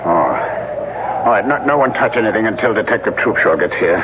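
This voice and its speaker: A man's voice: fairly low pitch, animated, a little fast, natural voice. An actor playing an earnest, flustered archaeology professor in a radio mystery, hesitant and fussy in his talk. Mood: dismayed, flustered. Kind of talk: 1970s radio mystery acting. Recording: noisy, phone-like old radio recording.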